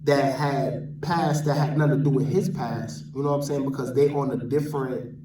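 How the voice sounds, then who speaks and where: A man's voice: mid-range voice, fairly even intonation, normal speed, noisy background. A man from New York with a New York accent gives an off-the-cuff opinion rant as a vlogger, using street slang and repeating phrases for emphasis. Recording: roomy echo, distant from mic.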